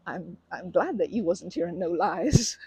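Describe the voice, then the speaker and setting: A woman playing a timid, kind young woman in an ASMR roleplay, stammering and rambling self-consciously in a soft, breathy voice. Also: on the low side, big pitch swings, a little slow, dry recording, full character voice. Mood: embarrassed, apologetic. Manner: stammering, self-deprecating, rambling with nervous giggles. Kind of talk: ASMR comfort roleplay, conversational acting.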